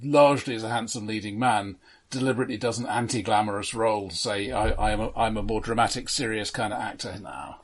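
A man co-hosting an analytical but casual film discussion, speaking in a halting, considered way. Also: fairly low pitch, steady tone. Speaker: podcast co-host.

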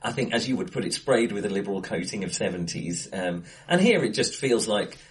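A man's voice: fairly low pitch, lively intonation, brisk. A man co-hosting a casual film discussion, talking face to face with someone in a live-sounding room. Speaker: podcast co-host. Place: indoor room, recorded face to face. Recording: acoustically bright live room.

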